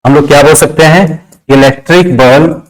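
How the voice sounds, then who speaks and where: A man's voice: on the high side, moderate pace, poor audio. A man with a Bihari Indian accent teaching a grammar lesson step by step, repeating phrases, dictating word by word and often checking in with short questions. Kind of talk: classroom lesson explained step by step.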